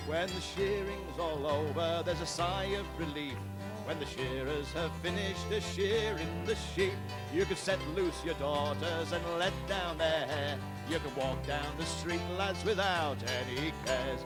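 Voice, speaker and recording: A man's voice: fairly high pitch, unhurried, poor audio. Man singing a rowdy country ballad. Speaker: band singer. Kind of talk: country folk singing with a band. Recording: loud band music behind the voice.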